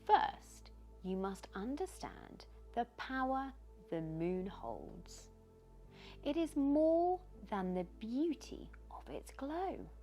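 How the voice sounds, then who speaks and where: A woman voicing tiny, wise, glowing sea wisps in a children's storybook, solemn and unhurried as they tell of old times. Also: on the high side, huge pitch swings, mellow, soft background music, voice pushed up, heavily acted. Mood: solemn, wondrous.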